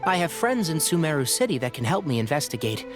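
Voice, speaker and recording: A clever young male scholar in a video game. The voice sits fairly high and moves with lively intonation, articulate and considerate, over clearly audible music. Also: fast talker. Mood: thoughtful.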